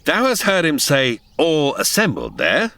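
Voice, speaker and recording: An actor playing a bold, commanding outlaw hero, declaiming in heroic old radio drama style. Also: male voice, fairly high pitch, wildly swooping pitch, a little slow, sharp tone, dry recording, exaggerated voice. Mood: sly, rallying. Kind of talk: old-time radio adventure acting, archaic English.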